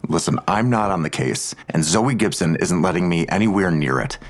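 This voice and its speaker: A man playing a weary, irritable investigator in an audio drama, curt and gruff. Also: low voice, lively intonation, normal speed.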